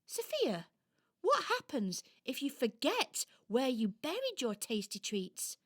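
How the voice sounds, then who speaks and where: A sweet, wide-eyed young traveller in a children's radio play, played by a woman. She has a high, lilting British voice and speaks gently and earnestly, taking her time. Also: wildly swooping pitch, takes it slowly, full character voice. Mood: curious, doubtful.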